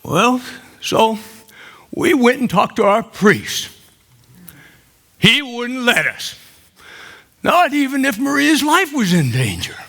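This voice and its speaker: Cheeky old man in his nineties, played by a male actor, stage monologue: slow, bright, chatty and tender. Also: very high-pitched, very animated, gravelly, full character voice. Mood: tender, confiding. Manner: unhurried storytelling with pauses for laughs. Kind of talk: theatrical comic monologue on stage.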